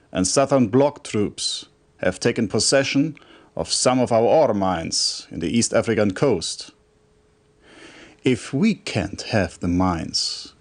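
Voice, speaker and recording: Game cutscene role: a stern military commander, formal and authoritative, measured delivery with very lively intonation. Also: male voice, mid-range voice. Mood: skeptical. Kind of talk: video game cutscene acting, scripted briefing. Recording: compressed old game video audio.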